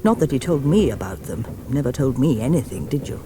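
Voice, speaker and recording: A woman's voice: rumbling low, big pitch swings, brisk, noisy background. An actress playing an impatient, arch English mother in a radio play, with a brittle and dismissive manner. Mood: bitter. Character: brittle, impatient upper-class English mother, teasing then bitter.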